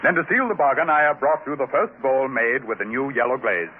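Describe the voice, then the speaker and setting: An actor playing the solemn chief of an alien potter tribe, speaking in formal, stately phrases in a 1950s radio drama. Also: male voice, mid-range voice, a little fast, natural voice. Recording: scratchy tinny old radio broadcast.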